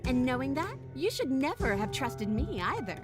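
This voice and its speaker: An actress playing a bitter, vengeful princess in a dramatic game, taunting and menacing. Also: female voice, high voice, very animated, normal speed. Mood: scornful. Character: bitter, vengeful captive princess, mocking and darkly gleeful. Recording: loud music behind the voice. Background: loud game music.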